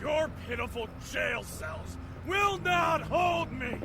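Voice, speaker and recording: Shouting high-pitched crazed vigilante. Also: male voice, lively intonation, takes it slowly, vocal fry, heavily acted. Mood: indignant, grandiose. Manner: shouting, grandiose. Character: crazed self-styled vigilante, indignant ranting arrested man. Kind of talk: audio drama acting. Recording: noisy background behind shouting.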